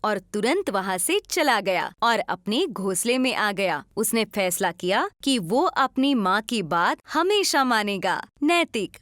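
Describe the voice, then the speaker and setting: A woman's voice: mid-range voice, a bit bright, no echo, clean studio sound. A woman narrating a scripted children's story as a storyteller.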